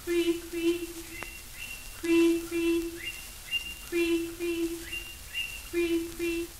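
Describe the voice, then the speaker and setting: Tiny high, slow storybook cricket. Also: female voice, monotone, background noise, full character voice. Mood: solemn. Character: tiny wise cricket, creaky little moral guide. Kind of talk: 1940s children's record acting. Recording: echoing old 78 rpm record.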